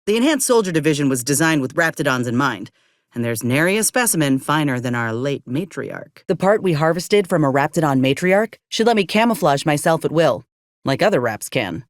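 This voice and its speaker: A woman's voice: low-pitched, sing-song, fairly quick, no room sound, studio quality. An actress playing an earnest, determined young woman soldier with folksy rural turns of phrase in a video game. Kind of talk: video game character dialogue.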